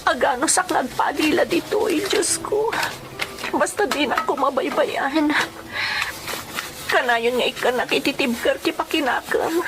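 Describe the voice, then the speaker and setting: A woman's voice: high-pitched, unhurried, background noise. A woman playing a poor, long-suffering wife and domestic helper in an emotional radio drama, speaking plaintively with an Ilocano accent. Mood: anguished despair. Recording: music playing behind the voice.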